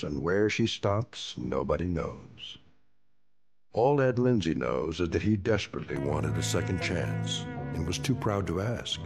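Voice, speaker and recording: A man narrating a scripted radio drama in a dramatic, measured way. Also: low-pitched.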